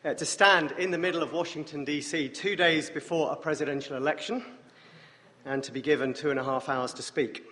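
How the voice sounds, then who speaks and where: An English man preaching as a guest speaker, with a wry, self-deprecating humor and a voice deeper than usual from a morning rasp. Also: fairly even intonation, fairly quick, background noise. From England. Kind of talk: sermon with dry jokes. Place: church sanctuary. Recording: long church reverb.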